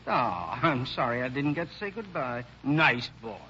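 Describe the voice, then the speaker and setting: An American actor playing a fussy, absent-minded, kindly old country lawyer, dithering and hesitant, in an old radio drama. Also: male voice, medium pitch, very animated, moderate pace, natural voice. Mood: genial. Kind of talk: 1940s radio acting. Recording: dull, clearly noisy old radio recording.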